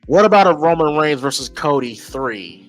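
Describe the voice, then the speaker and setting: A man's voice: a little high, lively intonation, moderate pace, soft background music. An American man giving casual, emphatic opinion commentary as a podcast host, informal and often repeating phrases as he thinks aloud.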